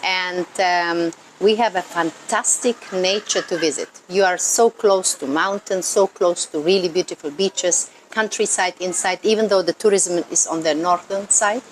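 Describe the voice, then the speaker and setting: A woman's voice: fairly low pitch, normal speed, hardly any pauses, poor audio. A woman from Croatia with a Croatian accent explains things off the cuff in English as a non-native speaker, earnest and emphatic, repeating words for stress. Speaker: local tourism worker. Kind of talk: unscripted explanatory talk to camera. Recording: noticeable ambient background noise.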